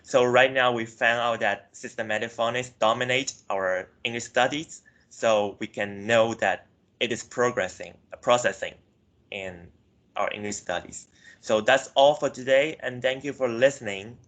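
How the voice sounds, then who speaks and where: A man's voice: mid-range voice, moderate pace, a bit bright. A young man from Myanmar giving a class presentation, hesitant, with frequent self-corrections and filler words. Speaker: student presenter.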